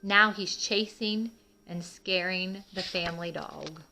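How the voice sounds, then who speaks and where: A woman reads a picture book aloud for young children. Her voice is bright and slow-paced, with emphatic, expressive storytelling and simple wording. Soft music is behind her. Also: on the low side, gravelly, poor audio. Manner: asks young listeners questions, explains simply. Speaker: children's read-aloud host.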